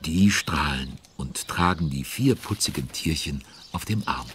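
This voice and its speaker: A man narrating a children's audio drama as a genial storyteller, expressive and chatty with young listeners. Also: a bass voice, measured pace, a little hiss or hum, full character voice. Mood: excited.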